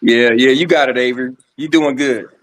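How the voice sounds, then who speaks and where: A man's voice: mid-range voice, fairly even intonation, brisk. A middle-aged man in casual banter, emphatically scolding and ribbing with repeated phrases. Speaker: livestream panelist.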